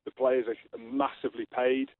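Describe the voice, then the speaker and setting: A man's voice: mid-range voice, little pitch movement, moderate pace, no echo. A man with a Scottish accent, a rugby pundit guest giving blunt, forthright opinions in a radio interview. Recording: tinny phone line.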